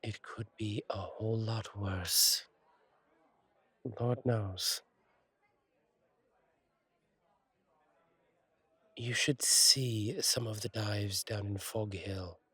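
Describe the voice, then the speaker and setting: A man playing a friendly, attentive fallen-angel bartender in an intimate roleplay audio, reassuring and chatty, with gentle wry humour and a husky voice. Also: lowish voice, steady tone, takes it slowly, no echo, exaggerated voice. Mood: caring, relieved. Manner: reassuring, chatty, wryly playful, slightly formal wording. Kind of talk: intimate one-sided roleplay audio acting.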